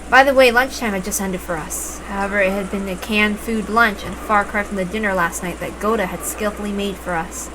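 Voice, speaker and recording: A woman reading a visual novel aloud as expressive first-person story narration, with emphatic openings to phrases. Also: mid-range voice. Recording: noticeable background noise.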